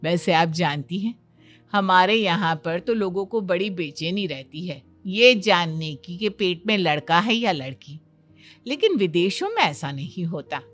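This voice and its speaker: Woman playing a lower-voiced, animated lady doctor. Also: unhurried, faint music behind. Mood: approving, chatty. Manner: chatty, advisory. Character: approving, reassuring female doctor. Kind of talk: Hindi cartoon story dialogue.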